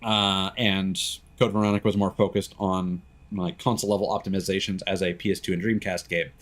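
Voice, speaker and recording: A man's voice: sits low, level tone, fairly quick. A man giving casual, opinionated, sweary commentary as he streams a game live.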